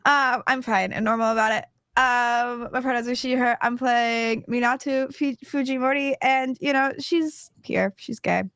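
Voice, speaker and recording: High, joking woman player. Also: clear, resonant tone, no echo, clean studio sound. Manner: joking, quick deadpan asides. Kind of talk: casual self-introduction.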